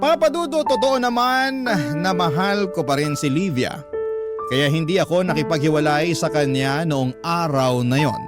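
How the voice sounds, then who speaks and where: A troubled, guilt-torn man played by an actor narrates in the first person for a radio love drama. His fairly high voice is earnest and confessional. Loud music plays under every word. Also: moderate pace, natural voice.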